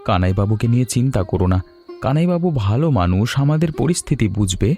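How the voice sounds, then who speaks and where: A man voicing a humble, anxious weaver and husband in an animated story, speaking in an earnest, plaintive way. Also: mid-range voice, quick pace, soft background music, natural voice. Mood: worried, reassuring. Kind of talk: Bengali animated story dialogue.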